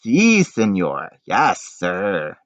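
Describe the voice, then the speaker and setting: A man's voice: low voice, huge pitch swings, very slow, exaggerated voice. A man voicing an eager, simple and foolish young handyman in a children's picture book. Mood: obliging. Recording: dull, roomy home recording.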